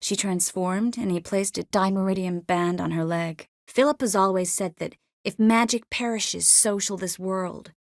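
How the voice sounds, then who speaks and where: A confident adult sorceress played by a woman from a fantasy video game. She speaks with wry, expressive wit and a medium-pitched voice. The sound is dry and studio-clean. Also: normal speed, few pauses, no room sound, lightly acted. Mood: serious, measured. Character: witty, confident adult sorceress, warm but worldly. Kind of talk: dramatic video game dialogue acting.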